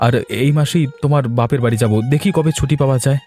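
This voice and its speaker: A man as fast-talking, mellow, flustered cartoon husband. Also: medium pitch, faint music behind, natural voice. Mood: hurried, pleading. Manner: flustered, pleading, deferential. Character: hurried, meek, sleepy young office husband. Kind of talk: cartoon fairy-tale voice acting.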